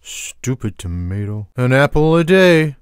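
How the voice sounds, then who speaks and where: A man voicing a lazy, sarcastic, food-loving cartoon cat in a video game, delivering dry, deadpan one-liners without any hurry. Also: medium pitch, clear, resonant tone, dry recording. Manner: deadpan, dry, unhurried wisecracking. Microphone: close studio microphone, dry sound.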